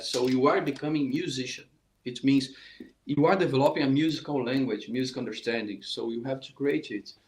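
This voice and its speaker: A man's voice: medium pitch, roomy sound. A middle-aged Brazilian man with a Portuguese accent, teaching a saxophone lesson in earnest, repetitive, restarting English. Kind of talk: informal online music lesson.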